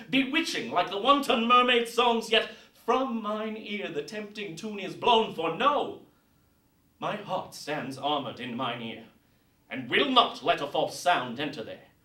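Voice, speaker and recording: A man playing a bashful but disdainful young man in a deliberate, emphatic recital of Shakespeare verse. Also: high voice, bright, forceful tone, full character voice. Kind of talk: theatrical Shakespeare verse monologue. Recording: roomy echo, distant mic.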